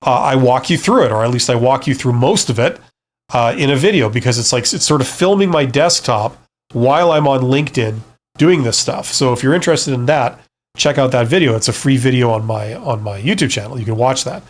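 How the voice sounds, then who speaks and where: A man's voice: medium pitch, lively intonation, brisk. A man hosting a casual live stream, giving frank, conversational advice and often checking in with the listener as he goes. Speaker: live stream host, career advisor and former CEO.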